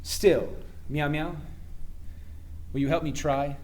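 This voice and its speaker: An actor playing a cocky but sincere starship captain in a sci-fi radio play, with an earnest, wry, halting delivery. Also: male voice, high voice, very animated. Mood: wry, resolute.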